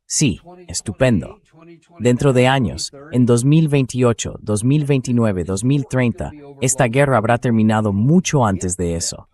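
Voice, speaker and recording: A man's voice: mid-range voice, expressive, a little slow, a little mellow. A man hosting a political commentary show, explaining his points emphatically and addressing viewers directly.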